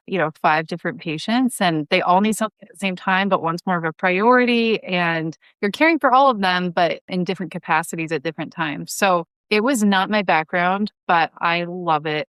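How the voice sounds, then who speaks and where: A woman's voice: lowish voice, a bit bright, clean tone, no echo. A woman speaking as a podcast guest, explaining things in a conversational way. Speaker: podcast guest, head writer and producer.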